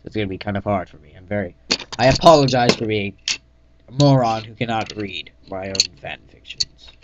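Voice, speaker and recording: A man giving an amateur dramatic reading of his own story, halting and often stumbling and correcting himself. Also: fairly low pitch, very noisy. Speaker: reader of own fan fiction. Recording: dull lo-fi mic in echoey room.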